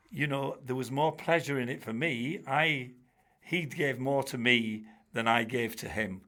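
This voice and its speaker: Older man, bright tense tone, mid pitch, rambling anecdotes, loose conversational talk. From Bradford, England. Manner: chatty, warm-humoured, many restarts and "you know"s. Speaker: guest, charity trustee and former teacher. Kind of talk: relaxed storytelling in an interview.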